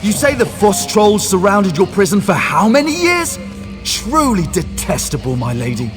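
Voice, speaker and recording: Polite bookish mage in a video game, highish voice, very lively and earnest. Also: male voice, moderate pace, over music. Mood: astonished, eager. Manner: polite, formal, expressive. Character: courteous, scholarly male mage, earnest and excitable. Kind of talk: video game character acting.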